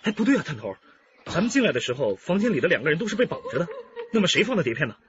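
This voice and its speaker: Crime radio drama: analytical, urgent investigator, fast and lively, loud noise behind. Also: male voice, fairly high pitch, muffled recording. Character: keen, analytical male police detective.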